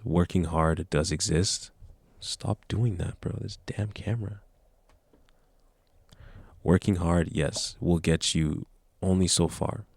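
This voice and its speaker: Deep gravelly young man talking to camera. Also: darkish tone, no room sound. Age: young adult. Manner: earnest, motivational, rambling with restarts. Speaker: vlogger. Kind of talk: casual talk to camera.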